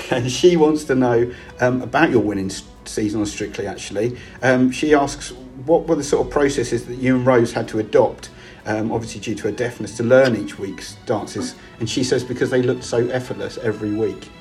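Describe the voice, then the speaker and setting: A man hosts a casual interview and reads out listeners' questions. He speaks in a relaxed, hesitant way, often starting over, at an average pitch. Soft music plays faintly behind him. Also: normal speed. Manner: relaxed, hesitant, repeats himself.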